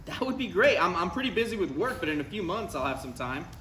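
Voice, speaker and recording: A man's voice: sits high, very animated, fast talker, bright, forceful tone, heavily acted. Put-upon son, guy reading him, dry and exasperated, voice jumps around, echoey live comedy read. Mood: warm, hesitant. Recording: echoey live room with faint noise.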